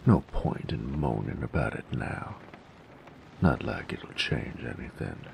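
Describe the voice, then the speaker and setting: A jaded bandit acted by a man drawls slowly in slangy, folksy speech for a roleplay audio drama. The voice is rock-bottom deep and thick with gravel, sardonic and gruff. There is faint noise behind it. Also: expressive, heavily acted. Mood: bitter, resigned. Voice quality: gravelly, very low voice. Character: gruff, jaded male bandit who grew up drifting with a carnival.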